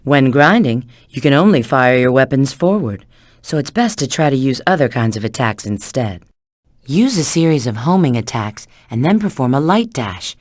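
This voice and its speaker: A woman's voice: extremely low pitch, very animated, moderate pace, darkish tone, dry recording, clean studio sound, exaggerated voice. An actress playing a confident female government agent who gives clipped, instructive mission tips in a video game.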